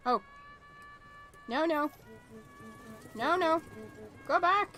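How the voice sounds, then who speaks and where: A woman's voice: very high voice, expressive, very slow, bright, forceful tone, faint music behind. A woman reading visual novel text aloud and adding chatty reactions as she plays. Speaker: let's-play streamer.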